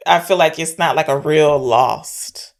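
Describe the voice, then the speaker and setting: A woman with a distinctly low voice and a lot of vocal fry hosts a casual film discussion. She comes across as playful and bantering, like a podcast co-host talking with a friend. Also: a little slow.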